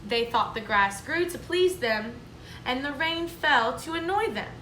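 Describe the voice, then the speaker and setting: A woman, a kindergarten teacher, reading a storybook aloud to children in a clear, explaining, sing-song manner. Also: high-pitched, lively intonation, measured pace, fairly bright tone, slight background noise. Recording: echoey room, mic at a distance.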